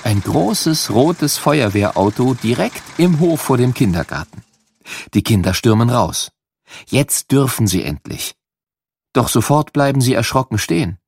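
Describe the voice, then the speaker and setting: A man reading a scripted children's story, animated and clearly enunciated for young listeners. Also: medium pitch, unhurried, no echo.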